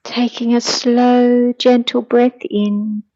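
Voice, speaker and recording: A middle-aged woman guides a meditation on a slightly dull, muffled recording. She speaks very slowly and gently, with a soft, mellow tone. Her delivery is soothing and hushed, at times breathy. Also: a little high, little pitch movement.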